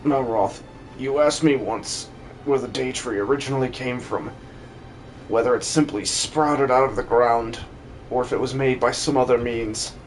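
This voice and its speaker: A man voicing a gentle old pastor on his deathbed in a fantasy game fan dub, speaking slowly and solemnly in halting phrases. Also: mid-range voice, slight background noise, lightly acted, poor audio. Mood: solemn, wise.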